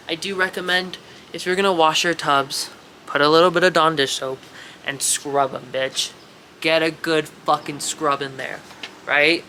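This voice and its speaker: A man's voice: fairly high pitch, pushed and bright, slight background noise. A congested young man rambling casually and swearing as he shows off his rat shed to viewers. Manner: rambling, profane, chatty with viewers. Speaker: reptile and rat breeder vlogger. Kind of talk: casual show-and-tell vlog. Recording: echoey shed room.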